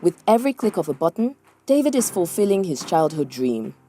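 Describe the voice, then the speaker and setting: A woman narrates a scripted news profile. Her tone is dark and mellow, with a lively, wide-moving pitch. Light guitar music plays beneath her. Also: a little fast.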